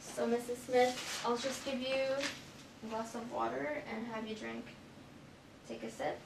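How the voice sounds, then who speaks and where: A young woman speaks as a nurse, polite and procedural, explaining each step to a patient. Her voice is fairly high with plenty of creak. She is picked up from a distance in an echoey exam room. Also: little pitch movement, a little slow. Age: young adult. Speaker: nurse in a clinical skills demonstration. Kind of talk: scripted clinical role-play, explaining steps to patient. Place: small clinic exam room, indoors. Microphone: far camera microphone. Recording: echoey room, distant mic, noisy background.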